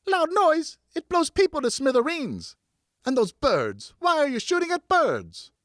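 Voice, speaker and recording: Man as stern scolding woman, audiobook character, lifted pitch, dramatic swings, indignant, emphatic. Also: huge pitch swings, measured pace, fairly bright tone, dry recording, studio quality, heavily acted. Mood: alarmed, indignant. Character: stern, outraged woman scolding two boys.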